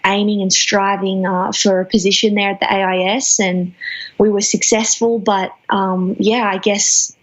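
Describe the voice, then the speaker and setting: A young woman in her twenties answering interview questions thoughtfully, often pausing and restarting phrases. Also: medium pitch, level tone, highs cut off. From Australia. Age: 20s. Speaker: guest athlete.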